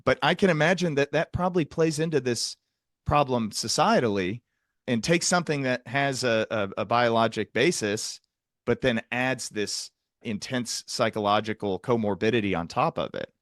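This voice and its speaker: A man hosting a conversational interview in a reflective way, building long, qualified sentences with pauses. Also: mid-range voice, a bit bright, no room sound, studio quality. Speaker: podcast host, psychiatric provider.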